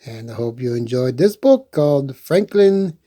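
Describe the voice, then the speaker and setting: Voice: medium pitch, lively intonation, unhurried, dark tone. A storytime host reading a picture book aloud and adding playful, rambling, chatty asides.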